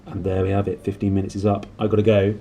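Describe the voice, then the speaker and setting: A man's voice: lowish voice, flat delivery, quick pace, warm tone, faint music behind. A man reading a story aloud expressively. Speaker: reader and host. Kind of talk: expressive audiobook narration with casual asides.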